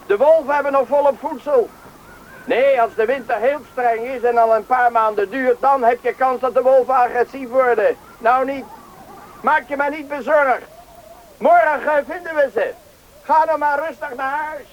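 A man acting a calm, authoritative search party leader in a radio play, reassuring and matter-of-fact. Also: very high voice, moderate pace. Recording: dull radio play recording, faint noise.

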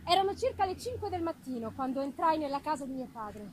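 A woman performing an anguished, haunted young male scientist in a dramatic, halting gothic literary reading, emphasizing and repeating words. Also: high-pitched, big pitch swings, a little hiss or hum, low-quality recording. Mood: grave, haunted.

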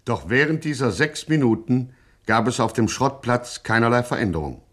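Male storyteller, medium pitch, formal, mock-solemn, scripted radio crime comedy narration. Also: animated, normal speed, lightly acted.

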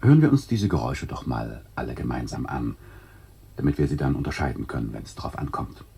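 An actor playing a dry, didactic announcer who explains a satirical radio play in deadpan scripted narration. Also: male voice, extremely low pitch, a little fast, slightly rough, exaggerated voice. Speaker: narrator and presenter.

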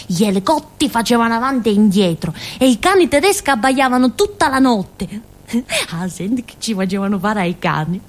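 Woman as village simpleton for radio play: high-pitched, very animated, naive, candid, childlike. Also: close to an everyday voice. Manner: childlike, rambling, self-questioning, emphatic. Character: naive village fool girl, candid, excitable, stubborn. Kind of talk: theatrical radio monologue, colloquial storytelling.

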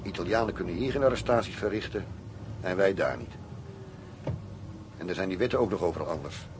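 A low-pitched, middle-aged investigator, cynical and sure of himself. He talks bluntly and assertively. The radio drama sits over distinct background noise. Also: expressive, brisk. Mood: cynical, lecturing. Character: cynical, decisive undercover police investigator, married man. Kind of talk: Dutch radio play acting.

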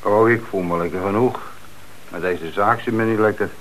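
A man's voice: on the low side, normal speed, fairly bright tone, a little hiss or hum, natural voice, poor audio. An actor playing a thoughtful, doubtful male police detective musing to his chief in a Dutch radio play. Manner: musing, sceptical.

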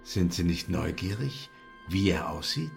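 A man's voice: deep voice, animated, a little slow, gravelly, faint music behind, full character voice. An actor playing an unsettling pious male lodger in a German radio play, with a formal, insinuating, theatrical manner. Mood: sly, probing.

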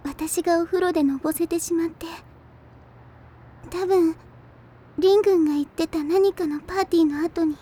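A very high-pitched, soft-spoken teenage girl from an anime audio drama. She is devoted and fragile, choosing her polite words with hesitation. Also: fairly even intonation, moderate pace, pauses often. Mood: hesitant, wistful.

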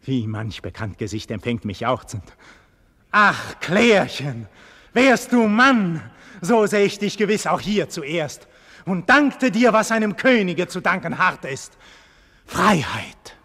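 An actor playing an imprisoned noble war hero in a classical radio drama, declaiming between brooding and fervour with a husky voice. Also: male voice, high voice, big pitch swings, slow, full character voice. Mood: fervent, exultant. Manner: declamatory, swelling from hushed brooding to ringing fervour. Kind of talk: classical German stage-style radio drama monologue.

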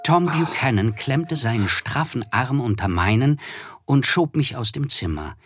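An actor playing a reflective, observant man who narrates his memories in a measured, wry way for a German radio play. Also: mid-range voice, animated, fairly bright tone, slight background noise. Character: reflective, observant male narrator recalling an evening.

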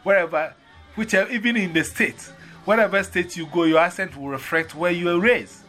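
Man, Ghanaian accent, high-pitched, bright tone, animated storytelling, acts out both sides of conversations. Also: brisk, soft background music. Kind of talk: casual chat.

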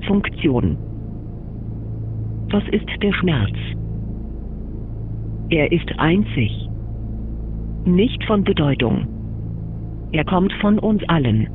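An actor playing a solemn man who muses in measured, declamatory short phrases in an experimental radio drama. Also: sing-song. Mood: solemn, detached. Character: solemn male voice musing abstractly on pain. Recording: dull lo-fi sound, rumbling background noise.

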